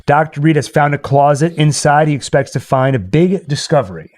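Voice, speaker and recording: A man's voice: on the high side, brisk, a little mellow. A man chatting casually as the host of a livestream. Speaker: host and performer.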